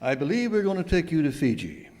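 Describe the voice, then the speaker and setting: A man playing a creepy ship's first officer in a theatrical, booming style for an amateur radio horror-comedy. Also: huge pitch swings, quick pace, darkish tone. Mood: creepy courtesy. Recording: echoey hall, clear noise, clicks.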